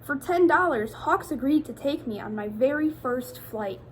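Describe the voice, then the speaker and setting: Higher-pitched woman reading to children, noisy recording. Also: expressive, normal speed. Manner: addresses young children directly with questions. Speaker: teacher reading a picture book aloud to children. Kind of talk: expressive children's read-aloud.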